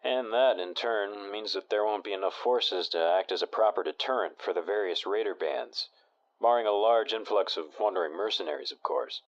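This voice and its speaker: A man playing a steady radio talk host. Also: lowish voice, normal speed, lo-fi sound, close to an everyday voice. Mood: measured. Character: even-tempered male radio talk show host.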